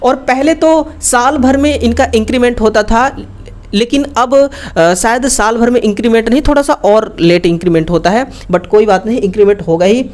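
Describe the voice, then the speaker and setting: A woman's voice: on the high side, normal speed, darkish tone. A woman giving an informal, explanatory online lesson as an exam-prep teacher, talking colloquially with frequent check-in tags.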